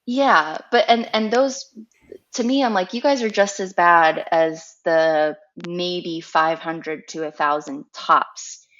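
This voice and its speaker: A woman with a very low voice, speaking as a podcast guest. She is frank and emphatic, driving each point home. The sound is dull and lo-fi. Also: slow pace, fairly bright tone. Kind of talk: casual interview.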